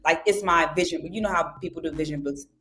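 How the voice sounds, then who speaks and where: A woman's voice: low voice, fast, pushed and bright. A young woman guest on a casual podcast, talking animatedly and acting out her own self-talk. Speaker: guest journalist.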